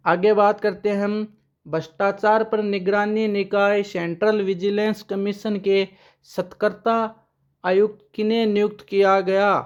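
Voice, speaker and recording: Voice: medium pitch, flat delivery, measured pace, clean tone, highs cut off. A current affairs teacher giving an exam-prep lesson with memory tricks, who asks questions aloud, answers them and repeats the key names.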